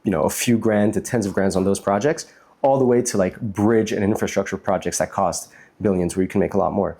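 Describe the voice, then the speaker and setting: A man's voice: deep voice, fairly quick, vocal fry, dark tone, husky. A man from New York with an American accent, talking casually and explaining things as an interview guest. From United States, New York City. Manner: explanatory, conversational, frequent "like". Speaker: interview guest, startup chief executive.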